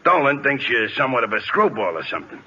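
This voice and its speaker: An actor playing a gruff, good-natured American baseball catcher in slangy, ungrammatical 1940s radio comedy style. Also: male voice, medium pitch, big pitch swings, pushed and bright, heavily acted. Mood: nosy, teasing. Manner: slangy, ungrammatical, drawn-out hesitations. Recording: dull, hissy old radio recording.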